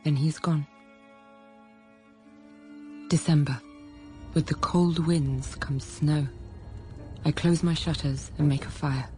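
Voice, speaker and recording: An actress playing a frank, self-possessed young schoolteacher in a period radio drama, narrating quietly and thoughtfully. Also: female voice, sits low, steady tone, slow, pauses often, soft background music. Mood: suspenseful. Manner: quiet, composed, literate storytelling.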